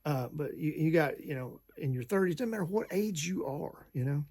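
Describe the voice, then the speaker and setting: Gravelly man in his late fifties, rambling. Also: on the high side, animated, normal speed, darkish tone. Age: 50s. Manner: rambling, frequent fillers and restarts. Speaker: vlogger. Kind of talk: casual talk to camera.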